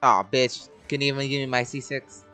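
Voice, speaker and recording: A woman's voice: extremely low pitch, flat delivery, normal speed, a bit bright, soft background music. A woman giving casual, irreverent, slangy reaction commentary while streaming a video game.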